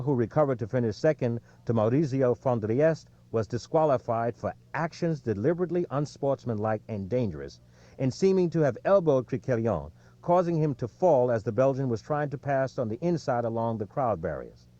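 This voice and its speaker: A man reading an audiobook in a measured, clearly scripted storytelling delivery, recorded with slightly lo-fi sound. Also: mid-range voice, expressive, warm tone, no echo. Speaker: audiobook narrator.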